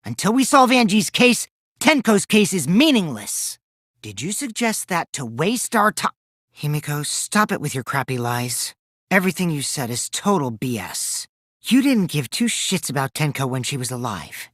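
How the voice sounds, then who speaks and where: A man's voice: on the high side, very animated, normal speed, a bit bright, no room sound, clean studio sound. An actor playing a mischievous, taunting teenage boy in a video game, theatrical and teasing, with a youthful boyish voice that can turn from cutesy to cold. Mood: scornful. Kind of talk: animated game dialogue in a murder-mystery trial.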